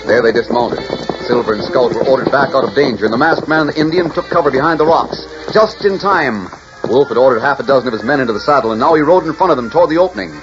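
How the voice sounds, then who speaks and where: A man's voice: medium pitch, animated, fast talker, over music. A man narrating a 1940s radio drama in a dramatic, stirring scripted style. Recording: dull 1940s radio recording.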